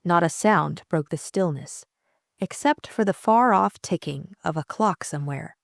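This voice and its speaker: A woman's voice: fairly low pitch, a little slow, gravelly, dry recording, clean studio sound. A woman reading a novel aloud as scripted audiobook narration.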